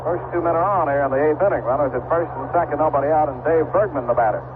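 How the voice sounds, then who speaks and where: An American man calling baseball play-by-play for radio in short, clipped, measured phrases. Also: fairly high pitch. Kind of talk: live radio play-by-play commentary. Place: ballpark radio broadcast. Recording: noisy vintage AM radio broadcast, narrow band.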